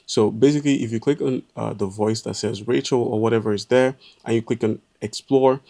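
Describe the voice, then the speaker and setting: A man giving a step-by-step software tutorial, explaining calmly with frequent "uh" pauses. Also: medium pitch, creaky. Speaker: tutorial presenter. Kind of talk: screen-recorded software walkthrough.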